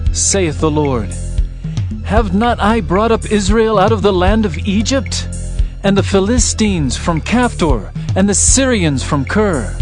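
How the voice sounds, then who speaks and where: A man narrating dramatized scripture in a solemn, declamatory manner. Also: high voice. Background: background music.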